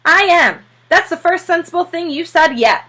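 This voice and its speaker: A woman's voice: very high voice, expressive, moderate pace, pushed and bright, voice pushed up, heavily acted. A woman voicing an argumentative, skeptical male repairman in a storybook, with folksy grammar and an exasperated, sarcastic manner. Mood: indignant.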